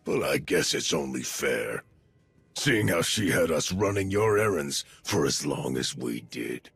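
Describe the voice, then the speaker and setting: An actor playing an arrogant, menacing sci-fi raider enforcer who taunts and intimidates in a rough voice, as in a video game cutscene. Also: male voice, very deep voice, lively intonation, a little slow, fairly bright tone, faint music behind, heavily acted. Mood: sneering, coaxing. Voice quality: gravelly, rough.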